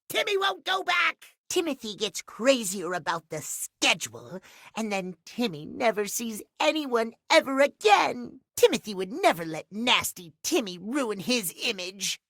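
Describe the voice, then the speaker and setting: A small but menacing video game villain, in a high, androgynous voice that swings widely in pitch. He is boastful, possessive and resentful, taunting at a slow, deliberate pace. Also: sing-song, pushed and bright, dry recording, studio quality, exaggerated voice. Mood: defiant, angry. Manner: speaks of himself in third person, menacing, taunting. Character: sinister, possessive hidden clock alter ego, boastful and resentful. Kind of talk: video game character acting.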